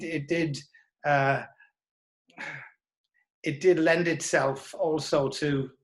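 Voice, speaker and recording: A middle-aged man giving a reflective interview, telling anecdotes in a hesitant, measured way. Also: fairly high pitch. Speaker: writer interviewed about audio drama scripts.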